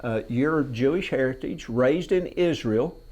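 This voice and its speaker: A man's voice: mid-range voice, a little slow, a little mellow, highs cut off. A man hosting a TV talk show, asking guests questions in a folksy, joking way. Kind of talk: casual TV interview. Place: television studio.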